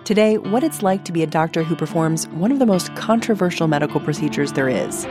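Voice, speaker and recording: A woman's voice: low-pitched, animated, quick pace, over music. An American woman hosting a podcast, narrating from a script and interviewing, recorded on a close studio microphone. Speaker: podcast host.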